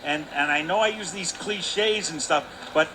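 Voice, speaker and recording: A man in a broadcast booth giving hockey color commentary, with relaxed, analytical remarks full of fillers and restarts. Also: fairly high pitch, a little slow, background noise. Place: broadcast booth above an ice rink.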